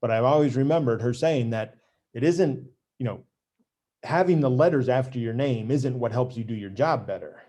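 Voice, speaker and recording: A man who teaches finance, telling a story in a relaxed, measured way. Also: medium pitch. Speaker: CMA instructor. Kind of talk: casual storytelling conversation.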